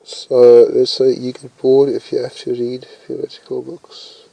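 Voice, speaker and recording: A man's voice: medium pitch, monotone, unhurried, gravelly, no echo, rough recording. A young man casually reading personality test questions aloud and answering them, mumbling and thinking aloud with hesitations. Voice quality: says his voice is loud.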